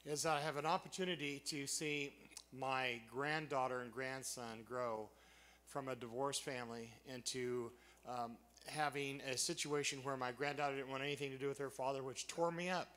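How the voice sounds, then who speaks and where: A man in his sixties, a retired officer and council member, sharing an earnest, halting personal story. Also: medium pitch, fairly even intonation, fairly bright tone. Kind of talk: personal testimony.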